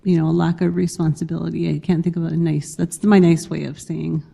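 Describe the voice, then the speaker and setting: A woman's voice: low voice, flat delivery, a little fast, creaky, dark tone, slightly rough. Woman speaking on a conference panel. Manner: conversational, hesitant with fillers. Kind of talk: panel discussion. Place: conference hall. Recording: reverberant conference hall.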